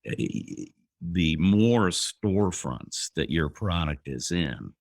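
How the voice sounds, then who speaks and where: A man in his fifties, a guest entrepreneur on an interview podcast, speaking in a measured way with pauses. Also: sits low, sing-song, vocal fry, no room sound, clean studio sound. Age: 50s.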